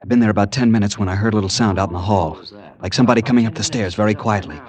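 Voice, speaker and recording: A man's voice: on the low side, very fast, a little mellow, natural voice. An actor playing a desperate, earnest young American man, narrating and pleading in 1940s radio suspense style. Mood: hushed suspense. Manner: earnest, nervy, dramatic. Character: anxious, desperate young man hunting his missing fiancee. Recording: vintage radio drama, music behind.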